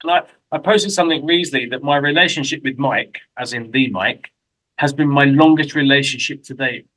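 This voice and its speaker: A man with a London accent hosting an interview, chatty and self-deprecating with quick asides. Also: medium pitch, fairly bright tone, poor audio. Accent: London English. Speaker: host. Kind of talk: casual interview chat. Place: lives in Mexico.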